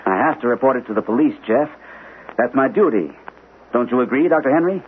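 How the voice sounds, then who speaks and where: An actor playing a shaken, earnest war veteran captain in a radio mystery drama. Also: male voice, medium pitch, expressive, fairly quick, natural voice. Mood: grave, shaken. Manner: earnest, troubled, insistent. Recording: noisy, narrow-band vintage radio broadcast.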